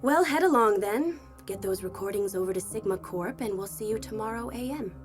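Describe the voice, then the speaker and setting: An actress playing a suave, controlling corporate boss with a breezy, superior manner and quiet threat in an audio drama. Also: female voice, medium pitch, brisk, over music, natural voice. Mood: smug menace.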